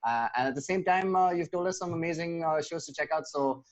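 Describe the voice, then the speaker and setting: A man hosting a podcast interview in an informal, halting way. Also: on the high side. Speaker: podcast host. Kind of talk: casual interview chat.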